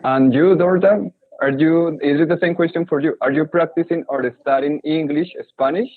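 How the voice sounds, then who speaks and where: A man's voice: on the high side, fairly even intonation, moderate pace, phone-quality sound. A man moderating an online English conversation class, prompting students by name and keeping things moving. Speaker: teacher moderating a conversation group.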